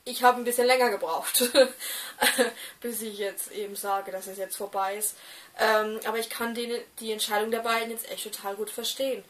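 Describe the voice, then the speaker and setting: A young woman in her twenties talks straight to her webcam in a small room. Her voice sits a little high, and she sounds set back slightly from the mic. She hesitates often, with plenty of fillers and restarts. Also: little pitch movement, moderate pace, a bit bright, distant mic. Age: 20s. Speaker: doll collector vlogger. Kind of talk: casual talk to camera.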